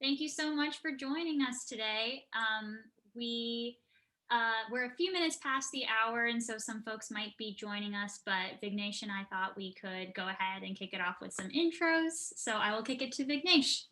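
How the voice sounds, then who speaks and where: A woman's voice: a little high, normal speed, pushed and bright. A woman co-hosting an online event and giving introductions over a video call. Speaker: event co-host. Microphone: video call microphone.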